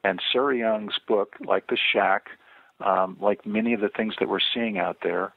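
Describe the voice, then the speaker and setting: An older man, an author and guest on a radio interview, speaking earnestly and measuredly with frequent pauses and fillers. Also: on the low side, fairly even intonation, gravelly, no room sound. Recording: tinny phone line, dry.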